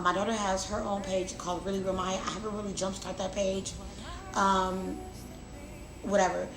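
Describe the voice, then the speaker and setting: Creaky-voiced woman vlogger in a noisy, echoey room. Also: medium pitch. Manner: chatty, emphatic repetition. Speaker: lifestyle vlogger. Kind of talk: casual confiding chat to camera. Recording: roomy echo, clear background noise.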